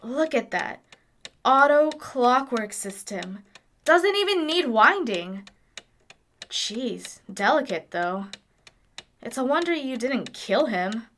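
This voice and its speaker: Woman acting an unhurried, nerdy young engineer. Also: fairly high pitch, very animated, a bit bright, a little hiss or hum, heavily acted. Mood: amazed, fretting. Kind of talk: audio drama dialogue.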